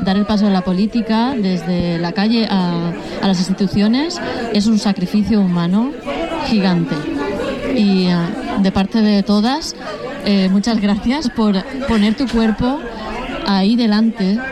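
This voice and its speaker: A woman's voice: medium pitch, a little slow. Woman, interviewer, grateful, hesitant fillers, crowd noise behind. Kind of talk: interview questions.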